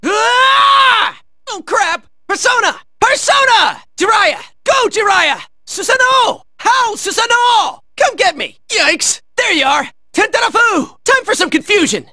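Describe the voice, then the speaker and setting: High-pitched, excitable American teenage fighter. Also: male voice, measured pace, hardly any pauses, no echo, raised voice, studio quality, full character voice. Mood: panicked, strained. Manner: exclamatory, brash, high-strung. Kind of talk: video game battle barks.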